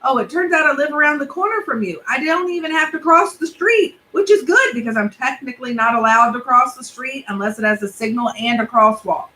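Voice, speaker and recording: A woman's voice: high voice, expressive, fairly quick, few pauses, sharp tone, a little hiss or hum, voice pushed up, poor audio. A woman voicing an earnest, literal-minded young boy who rambles in a storybook reading.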